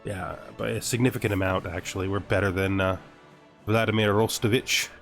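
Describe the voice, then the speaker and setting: A man's voice: fairly low pitch, level tone, brisk, fairly bright tone, soft background music. A man giving casual gameplay commentary, thinking aloud and often muttering questions and then answering them himself. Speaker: gamer narrating a let's play.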